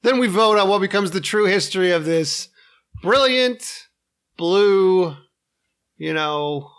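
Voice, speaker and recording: Male podcast host, high-pitched and bright, irreverent and sweary, bantering at the table. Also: slow, clear, resonant tone. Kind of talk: casual comedic group chat. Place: in-person group recording at a table.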